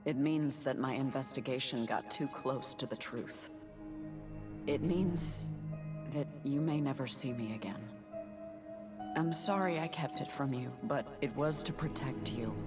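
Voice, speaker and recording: A woman playing a loving, sorrowful wife in a dramatic video game, speaking gently and gravely. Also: rumbling low. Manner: tender, measured, solemn.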